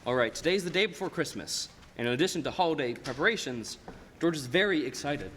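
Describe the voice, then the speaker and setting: A young man, a high school actor, playing a patient boss recounting a life story in a measured radio play style. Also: animated, fairly bright tone. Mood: measured, storytelling. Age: young adult. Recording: hall reverb, faint noise, live stage.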